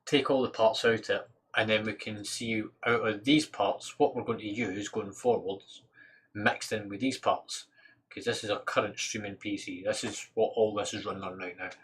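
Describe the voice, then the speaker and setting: Low-voiced Scottish man thinking aloud. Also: steady tone, moderate pace. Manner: informal, muttering to himself, thinking aloud. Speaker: livestream host. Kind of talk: casual livestream chat while working on PC parts.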